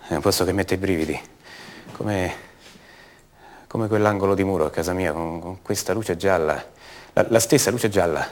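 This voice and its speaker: An actor playing the brooding adult son of a disappeared woman, speaking haltingly and intimately in a radio theatre monologue. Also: low voice. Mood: haunted. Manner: halting, repeats words, intimate.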